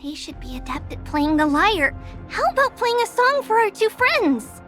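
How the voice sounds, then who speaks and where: A woman voicing an ancient high priest in a young girl's body, grave and formal, speaking of herself as "we". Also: sing-song, normal speed, a bit bright, faint music behind, exaggerated voice. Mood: thoughtful.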